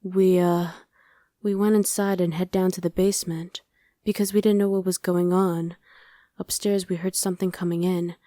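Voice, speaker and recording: Woman acting a mellow, low-voiced young female survivor. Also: flat delivery, moderate pace, dry recording, clean studio sound, close to an everyday voice. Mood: casual recounting. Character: friendly, streetwise young woman surviving a demon invasion. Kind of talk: ASMR roleplay, conversational acting addressed to the listener.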